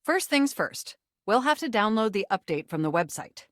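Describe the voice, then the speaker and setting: A woman's voice: mid-range voice, sing-song, moderate pace, fairly bright tone, no room sound, clean studio sound. A woman voicing a nerdy, eager, flirtatious computer come to life in a video game, speaking in formal, wordy, technical phrases with an earnest, easily flustered manner. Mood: upbeat. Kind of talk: scripted video game voice acting.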